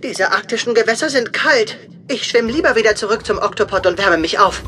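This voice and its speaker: A woman voicing a small, caring, anxious young male penguin medic in a children's cartoon audio drama, gentle and earnest. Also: mid-range voice, animated, fairly quick, faint music behind. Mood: searching.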